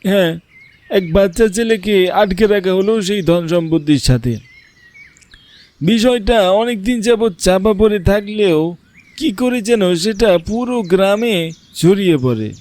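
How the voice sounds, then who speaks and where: A man voicing a very old village grandfather in a cartoon, recalling an old tale in a drawn-out elder's manner. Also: high-pitched, lively intonation, a little mellow. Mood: grave, reminiscing. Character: oldest village grandfather, reminiscing storyteller. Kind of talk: Bengali cartoon story dialogue.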